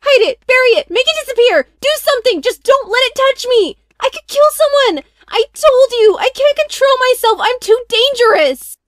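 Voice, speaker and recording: A woman's voice: extremely high pitch, lively intonation, moderate pace, few pauses, fairly bright tone, no room sound, clean studio sound. A woman voicing an anxious, impulsive teenage girl with magic, emotional and fretful, in a cartoon comic dub. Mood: panicked. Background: background music.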